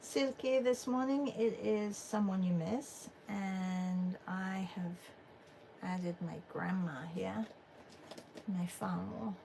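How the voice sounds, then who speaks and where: A woman's voice: medium pitch, slow, gravelly, slight background noise, rough recording. A middle-aged Englishwoman, chatting casually as she draws on a livestream and reads chat messages aloud. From England. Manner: relaxed and chatty, thinking aloud with fillers. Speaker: art livestream host. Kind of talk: casual chat while drawing, reading chat aloud.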